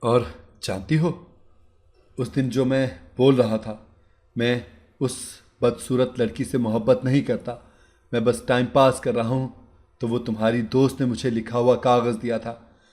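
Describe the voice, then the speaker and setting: A man voicing a calm young husband who speaks softly and patiently in an audio story. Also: medium pitch, a little slow, close to an everyday voice. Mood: pleading. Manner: soft, patient.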